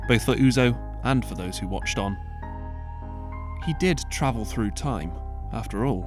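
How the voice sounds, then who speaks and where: Male narrator, measured, wry, medium pitch, faint music bed. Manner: measured, lightly wry storytelling. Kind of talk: audiobook narration.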